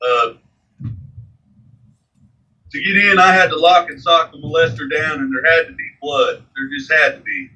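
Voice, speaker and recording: A man's voice: on the high side, normal speed, pauses often, sharp tone, recorded from a distance, poor audio. A man in his forties, a guest in a casual interview, rambling with lots of fillers. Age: 40s. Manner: rambling, frequent fillers like "man" and "you know".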